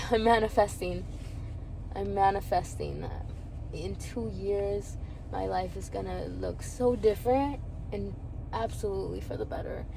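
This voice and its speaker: A woman's voice: medium pitch, fairly even intonation, measured pace, gravelly, warm tone, low-quality recording. Woman, early 20s, candid vlogger, rambling and laughing, recorded outside with traffic noise. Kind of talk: reflective personal vlog.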